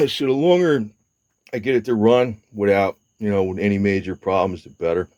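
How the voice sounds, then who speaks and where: A man's voice: lowish voice, wildly swooping pitch, moderate pace, dry recording. A man of seventy talking casually to camera, rambling with hesitations, fillers and the odd curse. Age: 70s. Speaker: motorcycle vlogger.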